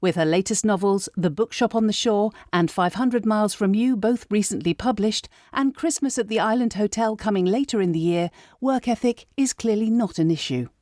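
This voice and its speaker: A woman's voice: medium pitch, moderate pace, few pauses, a little mellow, no echo, clean studio sound. A woman hosting a podcast, moving between scripted introductions and conversational interview questions.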